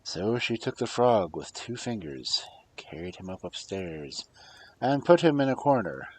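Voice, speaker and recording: A man reading fairy tales aloud as a storyteller, with a husky voice and a dramatic delivery that moves between hushed and emphatic. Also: on the low side, very animated, a little slow, slight background noise, rough recording. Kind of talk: expressive fairy-tale narration, read aloud.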